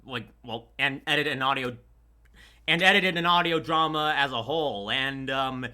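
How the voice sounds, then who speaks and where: A man's voice: medium pitch, moderate pace, bright, forceful tone. A man chatting casually about his creative work, rambling and often restarting his sentences. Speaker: audio drama voice actor and editor. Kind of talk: casual chat.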